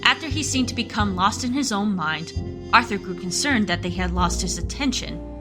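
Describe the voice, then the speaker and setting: A woman's voice: mid-range voice, lively intonation, normal speed, music in the background, close to an everyday voice. A woman reading scripted third-person narration as the storyteller of a noir mystery radio play. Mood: storytelling.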